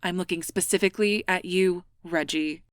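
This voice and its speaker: A woman's voice: on the low side, fairly even intonation, moderate pace, smooth, no echo, lightly acted. A woman playing the stern, exacting boss of a ghost-hunting team in an audio roleplay, dry and authoritative. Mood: stern, composed. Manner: authoritative, dry, clipped orders.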